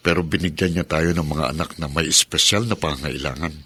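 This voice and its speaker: Bright, husky low-voiced husband. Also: male voice, fairly even intonation, fast talker, full character voice. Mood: reassuring, earnest. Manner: earnest, encouraging. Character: supportive, affectionate husband and father, gently reassuring. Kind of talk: Filipino radio drama acting.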